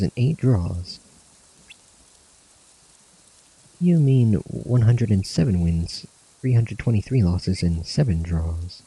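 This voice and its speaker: A man's voice: fairly low pitch, vocal fry, dark tone, husky, full character voice, rough recording. A man reading out game cutscene dialogue, voicing earnest young student warriors in a fantasy story. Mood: wistful, friendly. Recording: music under the voice.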